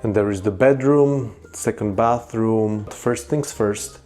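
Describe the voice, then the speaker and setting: An upbeat man hosting a hotel review vlog, chatting casually to the camera. His voice sits mid-range and he keeps a bright, casual pace. Background music runs clearly underneath him. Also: on the low side, slow pace. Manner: upbeat, chatty, points things out as he walks. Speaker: travel vlogger, hotel reviewer. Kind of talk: casual vlog narration and walkthrough.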